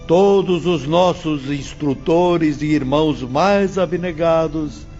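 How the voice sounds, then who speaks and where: A man's voice: on the high side, darkish tone, highs cut off. An actor playing a protective male spirit mentor in an audio drama, ceremonious and reassuring.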